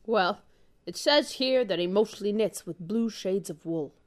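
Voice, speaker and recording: A woman voicing a suave male secret agent in a spy spoof, deliberate and deadpan. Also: mid-range voice, lightly acted. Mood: smooth, flirtatious.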